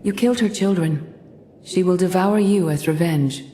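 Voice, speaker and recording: An actress playing a calm, enigmatic female mystic in a video game, speaking in a measured, solemn, cryptic way. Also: on the low side, noisy background, natural voice. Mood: grave warning. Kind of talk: cinematic game voice acting.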